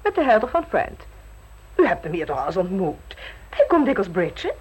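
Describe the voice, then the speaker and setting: A woman's voice: mid-range voice, big pitch swings, normal speed, darkish tone, slightly rough, low-quality recording. An actress playing a shrewd aristocratic lady hosting tea in a radio play, arch, gossipy and probing.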